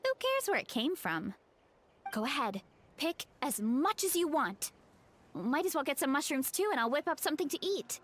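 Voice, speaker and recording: A woman's voice: sits high, moderate pace. An actress voicing a friendly, easygoing young woman from a tribe who cooks and laughs easily. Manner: relaxed, generous, laughs easily. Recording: game audio with faint background music.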